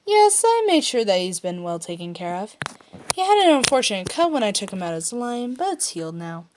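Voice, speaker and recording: A woman's voice: medium pitch, very animated, a little fast, darkish tone, slight background noise, close to an everyday voice. A woman plays a smug alien prince in a fan fiction story. He taunts and teases, stretching his words as if he owns the room. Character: smug, teasing male alien prince villain.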